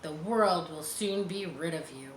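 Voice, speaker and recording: A woman's voice: sits low, a little slow, voice pushed down. Woman reading as stern, fearless village doctor. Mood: grave advice. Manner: firm, admonishing. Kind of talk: storybook dialogue read aloud. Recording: roomy echo, faint background noise.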